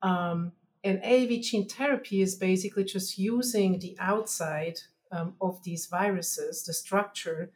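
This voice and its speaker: A woman's voice: medium pitch, unhurried. A woman from Switzerland with a Swiss German accent, explaining science as an interview guest in careful, sometimes hesitant phrasing.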